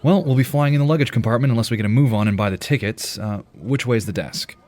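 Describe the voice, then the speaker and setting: A man's voice: mid-range voice, fast, a little mellow, lightly acted. A man playing a practical, good-natured team leader in an audio drama. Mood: brisk.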